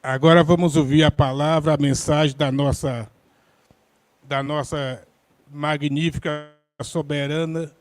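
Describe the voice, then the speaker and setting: A man's voice: on the high side, steady tone, a little slow, no room sound. A man serving as master of ceremonies who formally introduces the next speaker at an event.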